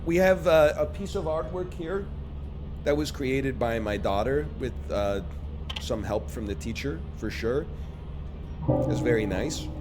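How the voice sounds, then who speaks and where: A hoarse man in his thirties chatting casually and joking while streaming a game. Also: medium pitch, unhurried, over music. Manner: rambling, joking asides. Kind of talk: casual chat while gaming.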